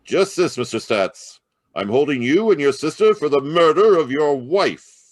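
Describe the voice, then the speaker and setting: Man acting as animated, shrewd police inspector. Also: a little high, normal speed. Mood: accusing. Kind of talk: old-time radio mystery acting. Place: live video call at home. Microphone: video call.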